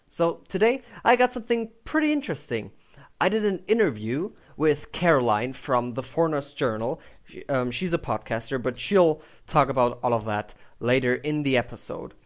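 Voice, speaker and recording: A man's voice: fairly high pitch, animated, normal speed. A man hosting a podcast, talking informally and upbeat as he introduces and interviews his guest.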